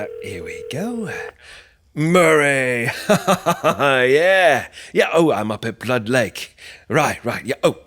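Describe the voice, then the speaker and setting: Man playing a polite, animated slasher. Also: mid-range voice, a little hiss or hum. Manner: polite, hesitant, businesslike. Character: chatty, courteous slasher killer treating murder as paperwork. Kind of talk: comedy horror audio play acting.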